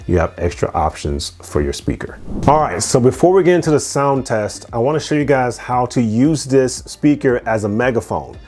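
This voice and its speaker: A man's voice: fairly low pitch, darkish tone. A man giving a casual, conversational product review as host, explaining things step by step and repeating phrases as he goes.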